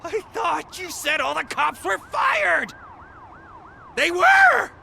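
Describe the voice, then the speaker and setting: A rowdy video-game gang thug, yelling in a very high, heavily gravelled voice. He is harsh and mocking, drawing out his taunts over sirens and street noise. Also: huge pitch swings, slow, exaggerated voice. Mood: taunting. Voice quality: gravelly, strained shout.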